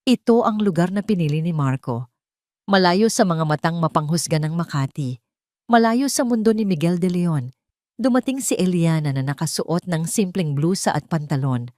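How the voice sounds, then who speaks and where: Female storyteller, lower register, dry close sound, dramatic scripted narration. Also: lively intonation, normal speed, a little mellow, dry recording.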